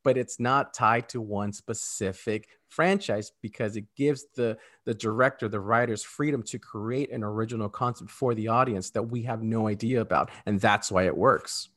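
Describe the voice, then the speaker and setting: A man's voice: fairly low pitch, moderate pace, few pauses, a bit bright. A man co-hosting a podcast, talking about films in an informal, conversational way. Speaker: podcast co-host. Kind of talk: casual film discussion.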